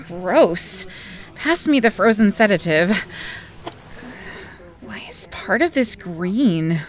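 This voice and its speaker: A woman's voice: mid-range voice, expressive, takes it slowly. An actress playing a heartbroken, self-pitying woman with a sardonic, casual way of talking, in a naturalistic audio drama. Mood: sardonic, sulky.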